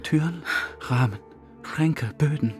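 A secretive lover and burdened accomplice in a radio play. He speaks deliberately and with control, like someone giving quiet instructions, with music clearly underneath. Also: fairly high pitch, lively intonation. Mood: urgent, controlled. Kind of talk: radio drama acting with intimate inner monologue.

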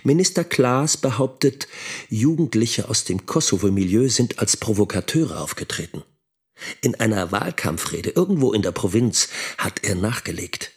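An actor playing a critical middle-aged schoolteacher and father in a radio drama monologue, husky-voiced, didactic and emphatic. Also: lowish voice, very animated, normal speed, heavily acted. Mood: tense, indignant.

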